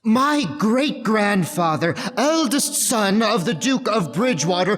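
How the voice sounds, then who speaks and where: Slow, forlorn fake-duke swindler. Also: mid-range voice, a bit bright, big-room sound, low-quality recording. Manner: mock-tragic, lofty, self-pitying. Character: forlorn con-man posing as a wronged, degraded Duke. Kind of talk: dramatic reading of a classic novel.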